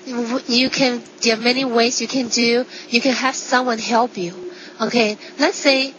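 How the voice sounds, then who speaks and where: A woman's voice: a little high, fairly even intonation, moderate pace, lo-fi sound, a loud crowd. A woman doctor explaining health in an interview, using simple everyday examples. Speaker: medical doctor and acupuncturist.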